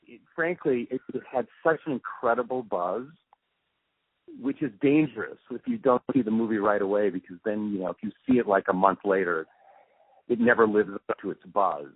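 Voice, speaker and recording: A man being interviewed, recalling his work in a casual, halting and reflective way, often trailing off mid-thought. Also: lowish voice, breathy edge, no room sound. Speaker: interview guest, radio drama producer and sound mixer. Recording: muffled, narrow phone-like interview recording.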